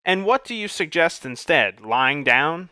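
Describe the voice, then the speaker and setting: Guy putting on a loud blustery bear-headed monster, pitched up, bright and crisp, storybook reading. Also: lively intonation, normal speed, dry recording. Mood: indignant. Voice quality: roaring, blustering.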